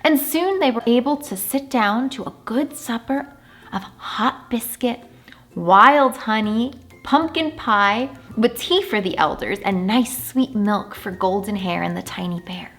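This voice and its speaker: A woman reading a children's storybook aloud in an animated, deliberate storytelling manner, drawing out words for emphasis. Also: fairly high pitch. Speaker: children's story reader and channel host.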